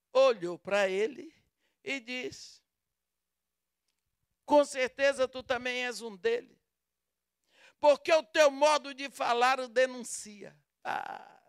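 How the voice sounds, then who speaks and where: A woman with a Brazilian accent delivers a sermon, taking her time over each phrase. Her voice is smooth and clear, close and dry with no room sound, and she acts out the quoted lines with drama. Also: high voice, dry recording. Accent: Brazilian Portuguese. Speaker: preacher.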